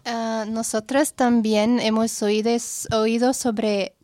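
A woman interviewer from Europe asking informal questions in a halting way, searching for words. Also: fairly high pitch, level tone, a little mellow, no room sound.

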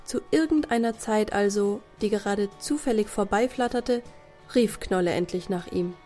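A woman's voice: mid-range voice, normal speed, mellow. Woman storyteller over guitar music. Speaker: narrator. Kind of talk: scripted storytelling for a children's radio play.

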